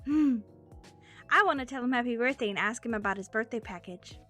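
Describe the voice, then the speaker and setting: Casting: a woman as an upbeat, quirky teen girl for a web cartoon dub; fairly high voice, airy and teasing, music underneath. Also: brisk, sharp tone. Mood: cheerful, breezy. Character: cheerful, quirky teenage girl chatting with a friend. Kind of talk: fan dub voice acting.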